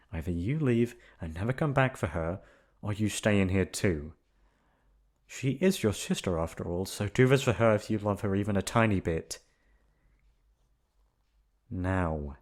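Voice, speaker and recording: A man's voice: fairly low pitch, lively intonation, unhurried, long pauses, a little mellow. Protective young man in a storybook fantasy, done by a male narrator, firm and direct. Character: protective young male friend guarding a runaway princess.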